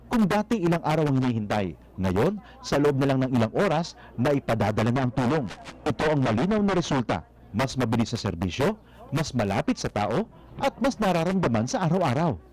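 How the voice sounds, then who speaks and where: Lively Filipino male narrator. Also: mid-range voice, low-quality recording. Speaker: news feature narrator. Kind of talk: scripted narration.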